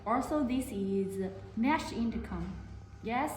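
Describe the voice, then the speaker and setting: A woman giving a product demonstration to camera in halting, non-native English phrasing. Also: a little high, lively intonation. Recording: very echoey room, faint noise.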